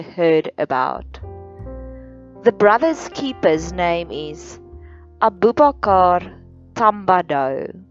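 A woman from South Africa, a native Afrikaans speaker with a South African accent, delivering a careful solo podcast monologue with occasional restarts. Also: mid-range voice. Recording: dull lo-fi sound with faint music.

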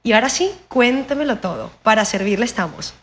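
A woman's voice: a little high, lively intonation, a little fast. A woman from Venezuela with a Venezuelan accent tinged with Mexican, chatting as a podcast guest in an upbeat, colloquial way. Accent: Venezuelan Spanish with a Mexican touch. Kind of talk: casual interview chat.

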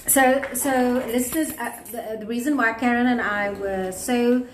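A woman's voice: on the high side, steady tone, measured pace. A middle-aged woman with a South African accent, interviewing conversationally as a podcast host. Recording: echoey room, faint background noise.

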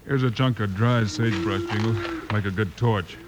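An actor playing a calm, brave marshal in an old radio western, matter-of-fact and commanding, with an American accent. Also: male voice, fairly low pitch, moderate pace, very noisy, close to an everyday voice. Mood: investigating. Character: calm, steady, brave frontier U.S. marshal hero. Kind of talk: 1950s radio western acting.

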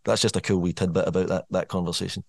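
A man's voice: deep voice, fairly even intonation, racing, gravelly, breathy edge, no room sound. A Scottish rapper talking as a podcast guest, thoughtful and frank, rambling a little as he works through his views. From Scotland. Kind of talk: casual podcast chat.

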